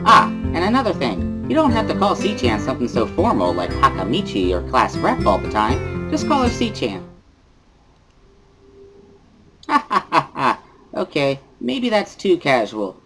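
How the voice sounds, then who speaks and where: A woman's voice: deep voice, unhurried, loud music behind the voice, exaggerated voice, rough recording. A woman voicing a playful, bubbly schoolgirl in an amateur visual novel reading, girlish and exclamatory. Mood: cheerful. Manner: girlish, exclamatory, easily excited. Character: bubbly, playful schoolgirl interpreter who laughs loudly.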